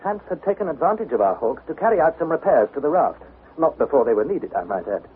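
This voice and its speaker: An earnest young adventurer, tinny radio. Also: male voice, on the high side, big pitch swings, fast. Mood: tense, measured. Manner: earnest, dramatic, precise. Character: earnest, anxious young nephew narrating his adventure. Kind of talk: old radio drama narration and dialogue. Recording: thin, noisy vintage radio recording.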